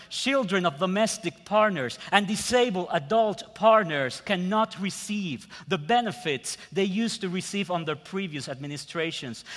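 A man with a Puerto Rican Spanish accent, a guest preacher delivering a sermon in measured phrases with pauses that trail off softly. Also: high voice. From Puerto Rico. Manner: slow, measured preaching with dramatic pauses and soft trailing endings. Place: church sanctuary. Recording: long church reverb.

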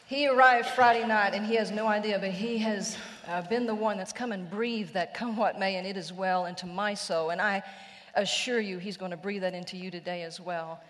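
A woman's voice: medium pitch, steady tone, normal speed, fairly bright tone, long reverb tail. Earnest woman pastor introducing a guest. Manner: earnest, admiring, some fillers. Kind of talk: formal introduction.

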